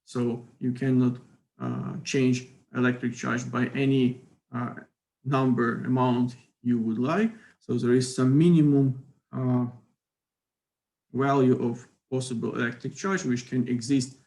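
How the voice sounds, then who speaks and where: A man's voice: medium pitch, flat delivery, unhurried, vocal fry, darkish tone. A middle-aged man from Ukraine, giving a university physics lecture in a hesitant way, with many fillers and careful phrasing.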